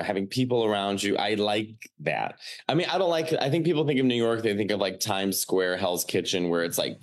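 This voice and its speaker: A man in his late thirties from the Chicago area with a Chicago accent, a stand-up comedian chatting loosely on a podcast, full of "like" fillers. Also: fairly low pitch. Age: 30s. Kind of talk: casual podcast chat.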